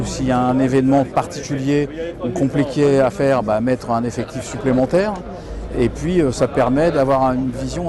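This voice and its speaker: A man, a local elected official, explaining things in an interview in colloquial, hesitant phrasing. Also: medium pitch.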